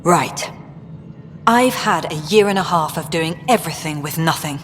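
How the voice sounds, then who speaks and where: Weary blunt colony director. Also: female voice, low-pitched, expressive, normal speed. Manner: curt, tired, blunt. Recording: game audio with long reverb and faint noise.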